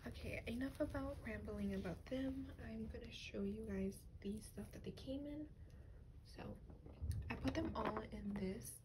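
A woman speaking softly in a breathy, often whispered voice, gently and hesitantly showing items in a rambling ASMR style. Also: fairly high pitch, moderate pace. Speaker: ASMR doll reviewer. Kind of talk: soft-spoken ASMR, rambling show and tell. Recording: clearly noisy lo-fi home recording.

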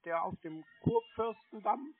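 A man's voice: sits high, animated, a little slow. A man on a phone call who acts obtuse and confused, asking question after question. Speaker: scam-baiter playing a called customer. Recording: narrowband phone line.

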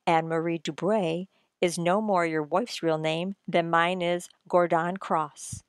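A woman playing a shrewd writer-sleuth who explains things theatrically and deliberately in an old-time radio mystery. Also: on the low side, steady tone, clean tone, no echo, studio quality. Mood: knowing, suave.

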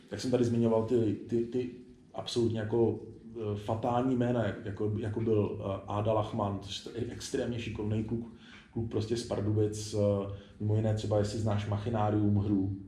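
A man's voice: on the low side, flat delivery, dark tone. A man in his forties talking casually and candidly as an interview guest, rambling informally. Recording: echoey room.

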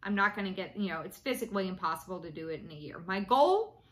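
A woman's voice: medium pitch, animated, quick pace, a bit bright. A woman in her late thirties chatting casually to camera at home about her cross-stitch project, rambling with many ums and restarts. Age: 30s. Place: small room indoors at home.

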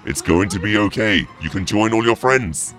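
A man's voice: animated, moderate pace, fairly bright tone, soft background music. A man streaming a horror game, swearing and mocking in theatrical live commentary. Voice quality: deep, gravelly, through a voice changer at times. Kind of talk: live gameplay commentary, trash talk.

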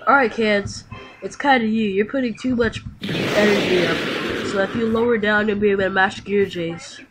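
A woman improvising roleplay dialogue and narration for a Minecraft video in a halting way. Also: medium pitch, fairly bright tone, soft background music, low-quality recording.

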